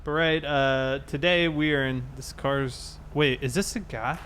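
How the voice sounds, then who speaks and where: A man chatting casually and thinking aloud in a halting way as he livestreams a police roleplay game. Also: mid-range voice, steady tone, a bit bright, a little hiss or hum.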